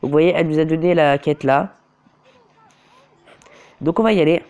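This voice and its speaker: A man narrates a mobile game while nursing a cold and a sore throat. His tone is mellow and relaxed, and he keeps halting mid-phrase to hunt for the right word before pressing on. It is loose, casual commentary recorded on a phone. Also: a little high, clear, resonant tone, dull audio. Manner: hesitant, often restarts and corrects himself. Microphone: phone screen recorder.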